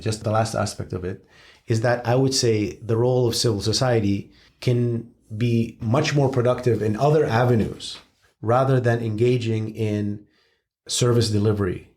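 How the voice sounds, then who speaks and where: A man from Syria giving long, measured, analytical answers as a guest expert, with frank asides. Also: medium pitch, a little mellow.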